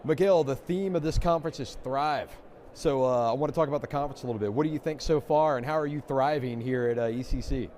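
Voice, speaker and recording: An upbeat male interviewer leads a friendly chat on a conference stage. His voice sits at an ordinary pitch, and steady background noise runs behind him. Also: fast, few pauses. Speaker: magazine interviewer and host.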